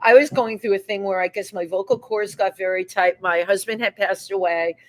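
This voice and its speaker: A woman hosting a live talk show from a restaurant, chatty and animated, often finishing her guest's sentences. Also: medium pitch, moderate pace, rough recording.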